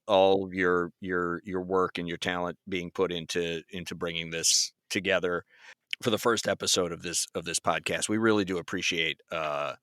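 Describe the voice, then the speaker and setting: Deep-voiced male interviewer, close and dry. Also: fairly bright tone, no echo. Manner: polite, appreciative, halting with repeated words. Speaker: interviewer, podcast host. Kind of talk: conversational interview questioning.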